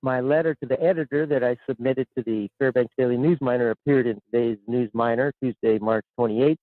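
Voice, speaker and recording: A man's voice: medium pitch, steady tone, brisk, no room sound. Caller, man in his 60s, rambly and repetitive, phone-line sound, dry. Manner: rambling, repeats words, chuckles. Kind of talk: call-in radio chat. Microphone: telephone.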